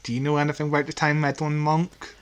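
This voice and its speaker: A man co-hosting a podcast in casual chat, speaking haltingly and often giggling. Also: a little high, monotone, clear, resonant tone. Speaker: podcast co-host. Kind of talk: casual chat reviewing audio dramas.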